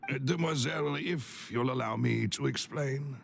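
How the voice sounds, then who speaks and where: Creaky-voiced, formal loyal retainer. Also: male voice, medium pitch, normal speed, slight background noise. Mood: deferential. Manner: formal, deferential. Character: loyal, deferential male retainer, formal and protective. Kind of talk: video game voice acting.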